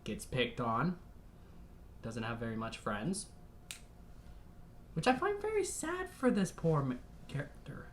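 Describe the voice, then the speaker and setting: Expressive, slow-paced reaction video host. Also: medium pitch, slight background noise. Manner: exclamatory, emotional, mild substitute swearing. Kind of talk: casual reaction commentary.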